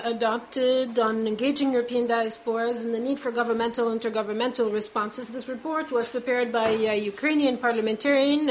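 A woman giving a simultaneous interpretation of a formal speech at a conference, halting, with constant "uh" fillers between words. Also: on the high side, a little hiss or hum, rough recording.

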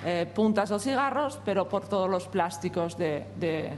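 A woman, a native Spanish speaker, reads out formal testimony at a hearing. She moves at a measured, hesitant pace and fills her pauses. The sound carries a long hall reverb with a faint hum behind it. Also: mid-range voice, lively intonation, a little mellow. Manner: measured, hesitant, with frequent fillers. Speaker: company representative at a parliamentary hearing.

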